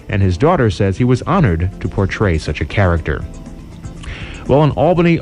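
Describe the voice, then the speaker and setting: A man with an American accent working as a radio DJ, presenting smooth jazz and short information updates as morning host. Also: medium pitch, darkish tone.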